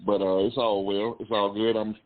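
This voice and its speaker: A man's voice: on the low side, level tone, moderate pace. A man in his fifties with an Atlanta Southern accent, calling in to a talk show with jovial, slangy banter and repeated catchphrases. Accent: Atlanta Southern American. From Atlanta, Georgia, USA. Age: 50s. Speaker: call-in guest. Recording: muffled, band-limited phone call audio.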